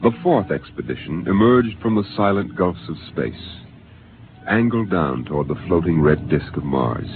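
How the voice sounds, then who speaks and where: A man's voice: low voice, very animated, moderate pace, background noise. A man narrating a 1950s radio drama in a grave, dramatic manner. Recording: tinny old radio broadcast with music.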